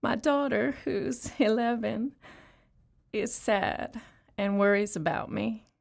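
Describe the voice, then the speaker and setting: Audio drama: weary, bereaved female inmate and mother. Plainspoken, slow, creaky. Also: fairly high pitch, heavily acted. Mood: numb, sad. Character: numb, bereaved incarcerated mother, late twenties to thirties. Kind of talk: confessional audio play monologue.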